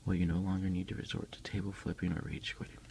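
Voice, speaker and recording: A man speaking as an ASMR host, soft-spoken and unhurried, in a casual style. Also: deep voice, flat delivery, gravelly, slight background noise. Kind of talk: whispered ASMR unboxing, reading aloud and chatting.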